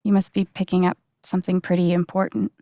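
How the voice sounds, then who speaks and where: A woman's voice: on the low side, little pitch movement, fast talker, gravelly, mellow, dry recording, clean studio sound. A woman voicing a friendly, wry young newcomer in a video game, talking in a casual, naturalistic, dryly joking way. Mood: amused. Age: young adult.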